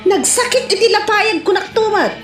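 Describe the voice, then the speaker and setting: High, animated Ilocano woman. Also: moderate pace. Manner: animated, exclaiming and laughing. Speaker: comedic actor. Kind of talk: Ilocano comedy sketch acting. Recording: echoey room with music underneath.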